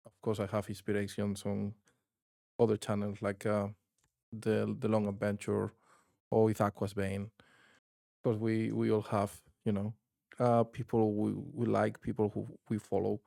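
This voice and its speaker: A man's voice: fairly low pitch, creaky, mellow, no echo, studio quality. A man from Spain with a strong Spanish accent, hosting his tabletop gaming channel in casual, rambling talk with many restarts. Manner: rambling, frequent restarts and fillers. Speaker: channel host.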